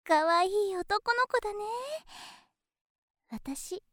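Very high-voiced, slow, coy girl. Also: fairly bright tone, dry recording, clean studio sound, heavily acted. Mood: sweet, coy. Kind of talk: Japanese situation voice drama acting.

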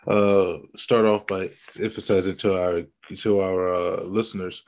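Raspy, gravelly man teaching slowly. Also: deep voice, flat delivery, a little mellow. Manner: slow, teasing, explanatory. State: raspy from illness. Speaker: Bible lesson host. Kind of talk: casual Bible study chat over video call. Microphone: video call.

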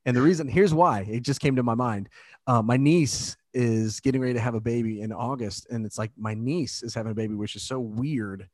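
A man's voice: mid-range voice, darkish tone, dry recording, clean studio sound. A man hosting a podcast, chatting casually and reflectively with his guest. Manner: chatty, reflective, quick to laugh. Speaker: podcast host. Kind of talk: casual interview conversation.

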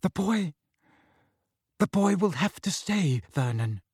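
A man's voice: sits high, wildly swooping pitch, a little slow, gravelly, fairly bright tone, husky, dry recording, clean studio sound, voice pushed up, heavily acted. A man voicing a middle-aged aunt in an audiobook, snappish and easily shaken. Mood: weak, resigned. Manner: shaky when frightened, clipped when snappish.

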